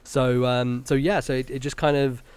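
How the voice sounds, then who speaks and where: A man's voice: mid-range voice, little pitch movement, normal speed, a little mellow, clean tone. A British man, around thirty, chatting casually and enthusiastically as an interview guest, explaining things in a conversational way. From Britain. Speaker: podcast guest, content creator.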